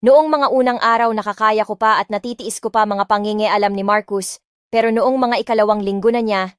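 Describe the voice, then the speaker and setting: Expressive woman reading a love story. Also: a little high. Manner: expressive, mixes English into Tagalog. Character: spoiled rich young woman, bratty and defiant. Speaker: audiobook narrator. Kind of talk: dramatic first-person story reading. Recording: dry close mic with soft music.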